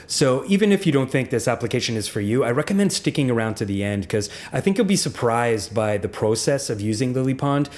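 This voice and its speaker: Male host, mid-range pitch, a touch raspy today, plain candid explainer tone. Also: expressive, a little fast, hardly any pauses, a little mellow. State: slightly raspy voice. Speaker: music software reviewer. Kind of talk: scripted video narration.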